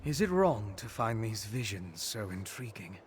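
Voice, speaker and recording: An actor playing an articulate, earnest scholar companion in a fantasy video game. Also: male voice, lowish voice, sing-song, unhurried, very noisy. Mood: intrigued, urgent. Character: scholarly male adventuring companion, curious and urgent.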